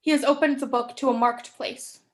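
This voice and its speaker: A woman's voice: high-pitched, fairly even intonation, normal speed. A young woman reading stage directions as scripted narration in a play reading. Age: young adult.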